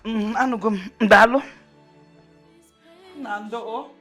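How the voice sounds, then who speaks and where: A woman's voice: fairly high pitch, fairly even intonation, slow pace, a bit bright. A woman acting a bitter, impatient middle-aged mother in a film drama, with a Nigerian accent, scolding and repeating herself for emphasis. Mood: bitter, agitated. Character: bitter, impatient mother, callous toward her sick husband. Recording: music playing behind the dialogue.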